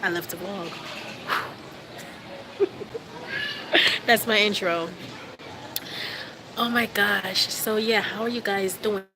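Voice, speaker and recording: Young woman, stuffy from a cold, relaxed chatty live-stream host, mid-pitched, recorded on a handheld phone with noise behind her. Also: takes it slowly, pauses often. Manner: chatty, relaxed, with frequent fillers and laughs. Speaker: vlogger livestreaming to fans. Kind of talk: casual live chat. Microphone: phone held at arm's length.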